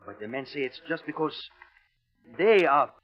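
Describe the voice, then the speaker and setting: Anxious, higher-pitched submarine lieutenant. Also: male voice, sing-song, moderate pace, faint music behind. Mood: nervous. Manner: deferential, nervous. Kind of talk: 1950s radio drama acting. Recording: dull old radio recording.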